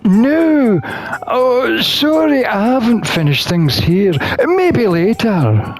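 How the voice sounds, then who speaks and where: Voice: mid-range voice, sing-song, slow pace, faint music behind, rough recording. A person voicing a flustered, protective satyr from a visual novel in a hesitant, stammering way. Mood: flustered, evasive. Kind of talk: acted visual novel dialogue reading.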